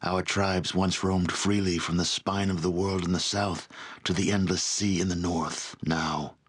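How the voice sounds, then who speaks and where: An actor playing a proud, embittered barbarian chieftain in a fantasy game, speaking in solemn, formal, deliberate oratory. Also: male voice, low-pitched, level tone, slightly rough. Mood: grave, resentful. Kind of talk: scripted fantasy game voice acting.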